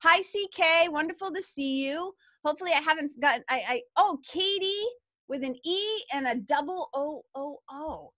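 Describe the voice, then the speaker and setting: A woman's voice: sits high, normal speed, a bit bright, no echo, clean studio sound. Bubbly Boston woman hosting a webinar. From Massachusetts. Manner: bubbly, chatty, enthusiastic. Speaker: webinar co-host. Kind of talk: live webinar, greeting viewers in the chat.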